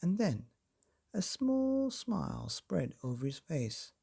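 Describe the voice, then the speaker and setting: Husky, animated man reading a bedtime story. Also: on the high side, slow pace. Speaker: storyteller reading a children's book. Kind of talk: expressive bedtime story narration.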